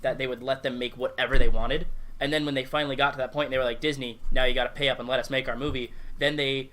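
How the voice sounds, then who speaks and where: A man hosts a casual podcast, talking very quickly in a mid-pitched voice. He keeps it informal and swears casually. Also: fairly even intonation, a bit bright. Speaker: podcast host.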